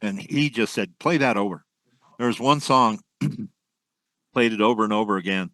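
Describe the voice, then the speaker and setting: An older man, a former musician, reminiscing at length in a casual interview, rambling with many pauses. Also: on the low side, sharp tone, no room sound. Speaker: guest, musician.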